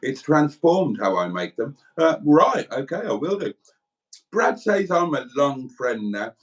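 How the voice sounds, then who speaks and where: Welsh male co-host, playful dry delivery, animated intonation, reads chat aloud. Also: on the high side, a little slow. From South Wales. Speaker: livestream co-host reading chat comments. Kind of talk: casual banter.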